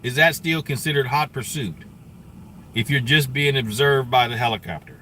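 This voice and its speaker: A man with a bright, forward voice streaming live commentary. He talks conversationally and thinks out loud, with faint vehicle and scanner noise behind him. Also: medium pitch, normal speed.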